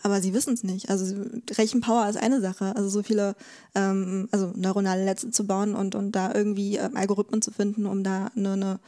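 A woman speaking as an expert guest in a conversational interview, explaining things haltingly and repeating words as she thinks. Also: mid-range voice, little pitch movement, dark tone. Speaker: podcast guest, legal expert.

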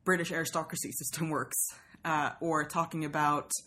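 A woman's voice: low voice, fairly even intonation, moderate pace, sharp tone. A woman from Sweden, a native Swedish and Russian speaker, chatting casually and wryly in English as an interview guest. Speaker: guest, journalist and podcaster. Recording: faint background noise.

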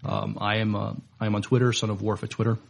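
Male co-host, low and gravelly, hesitant casual delivery full of pauses. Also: level tone. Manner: halting, hesitant, many pauses and fillers. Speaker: podcast co-host. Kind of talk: casual podcast chat.

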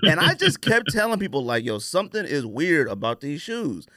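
A man's voice: fairly high pitch, big pitch swings, moderate pace, fairly bright tone, no room sound, clean studio sound. A man chatting casually and informally on a sneaker podcast, with plenty of slang.

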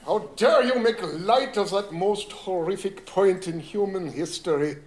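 Slow, stern in echoey room. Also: male voice, high-pitched, a little mellow. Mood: stern, indignant. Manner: slow, formal, clipped. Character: stern, pompous German businessman, easily offended.

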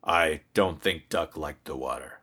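A man's voice: very deep voice, measured pace, sharp tone, husky, voice pushed down, heavily acted. A man voicing a protective, exasperated but caring father in a storybook, with a plain, firm parental delivery. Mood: soothing.

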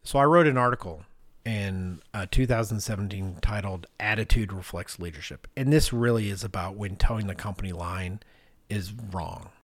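A man's voice: on the low side, normal speed, no echo, studio quality. A middle-aged man co-hosting a podcast, telling stories in a casual, conversational way and acting out what people said. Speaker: podcast co-host.

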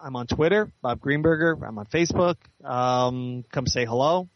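A man's voice: mid-range voice, normal speed, highs cut off, a little hiss or hum. A man being interviewed as a guest author, chatting casually and explaining things at length.

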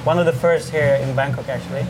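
A man's voice: mid-range voice, steady tone, fast talker. A man in his thirties from Sweden, a bartender chatting easily as a guest in a bar. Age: 30s. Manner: easygoing, short answers, many uh fillers. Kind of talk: casual interview chat. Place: busy bar. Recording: noisy bar background.

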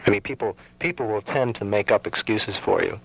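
A man hosting a late-night radio call-in show, musing slowly and hesitantly with many ums. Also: fairly low pitch, gravelly, slightly rough. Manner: thoughtful, hesitant, lots of ums. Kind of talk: casual call-in radio chat. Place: radio studio. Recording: dull lo-fi radio broadcast.

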